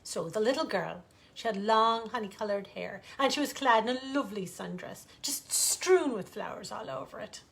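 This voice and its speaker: A woman author reading a bedtime fairytale aloud in an expressive, theatrical, lilting way with dramatic pauses. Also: medium pitch, gravelly.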